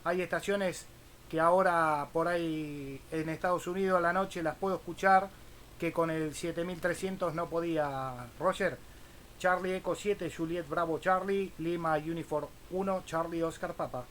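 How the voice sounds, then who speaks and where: A man's voice: fairly high pitch, steady tone, normal speed, fairly bright tone, a little hiss or hum. A man with an Argentine Spanish accent talking casually as an amateur radio operator. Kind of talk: casual ham radio exchange with spelled-out call signs.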